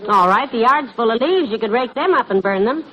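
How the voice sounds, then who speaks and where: A woman playing a sensible, patiently exasperated housewife in old radio comedy, gently chiding in a homely way. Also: on the high side, animated, fairly quick, lightly acted. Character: sensible, patiently exasperated middle-aged housewife, affectionately teasing. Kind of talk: 1950s American radio comedy acting. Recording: dull old radio recording, studio audience.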